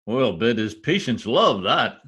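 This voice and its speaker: An actor playing a boastful, wisecracking husband in an old-time radio comedy, folksy and theatrical with a husky voice. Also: male voice, mid-range voice, sing-song, a little slow, fairly bright tone, exaggerated voice. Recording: home video-call recording.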